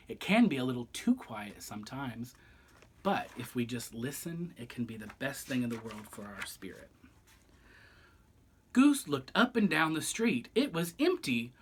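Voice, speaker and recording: Male children's storyteller, theatrical, very varied pitch, chats directly to the listener. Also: fairly bright tone. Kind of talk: expressive picture-book read-aloud with chatty asides.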